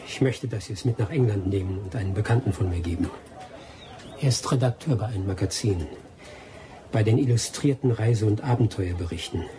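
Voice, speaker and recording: An actor playing a wily, vengeful expatriate adventurer in a German radio drama, with a sardonic, persuasive way of talking. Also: male voice, lowish voice, fairly quick, background noise, natural voice. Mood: guarded.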